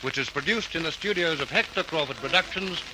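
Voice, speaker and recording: A man's voice: fairly high pitch, a little fast, rough recording. A man reading formal, earnest narration for an old radio crime drama. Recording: old radio recording with hiss.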